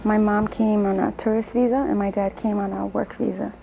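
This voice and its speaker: Gravelly, flat-voiced woman. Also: medium pitch, fairly quick, muffled recording, slight background noise. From Colombia. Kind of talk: oral history interview.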